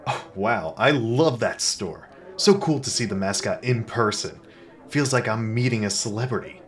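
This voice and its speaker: An actor playing a self-important, earnest but dim hard-boiled detective in a comedic audio drama, with melodramatic noir delivery and music behind him. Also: male voice, on the low side, moderate pace, fairly bright tone, close to an everyday voice. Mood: eager. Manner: melodramatic first-person noir narration. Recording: music bed under the voice.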